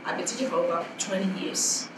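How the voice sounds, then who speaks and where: A woman's voice: lowish voice, fairly quick. A woman who teaches in a public school, introducing herself at a conference with many fillers. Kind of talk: spontaneous self-introduction at a conference. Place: conference hall. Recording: echoey hall, distant mic, noisy room.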